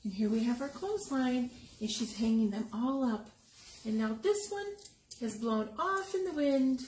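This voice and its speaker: A woman reading a picture book aloud to young children, expressively, pausing to explain the pictures and ask questions. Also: on the high side, animated, a little mellow. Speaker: story time reader. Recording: echoey room with background noise.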